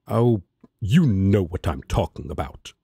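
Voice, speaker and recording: A man's voice: low voice, lively intonation, measured pace, creaky, mellow, breathy edge, no room sound, studio quality, exaggerated voice. A man playing a haughty, protective angel in an audio drama, speaking in a formal, wary, disdainful manner. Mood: grudging. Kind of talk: roleplay audio drama acting.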